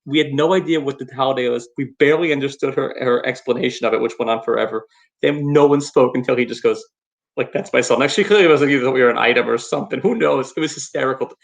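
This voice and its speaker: A man's voice: medium pitch, fast talker, vocal fry. A man with a New York accent, co-hosting a casual comedic chat and telling wry stories. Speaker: podcast co-host.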